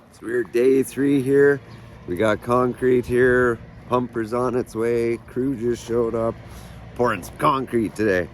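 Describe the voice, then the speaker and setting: Hoarse low-voiced man vlogging. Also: a little hiss or hum. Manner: relaxed, rambling, trailing off with fillers. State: hoarse, raspy voice. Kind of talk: casual vlog chat. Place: garage shop, partly outdoors.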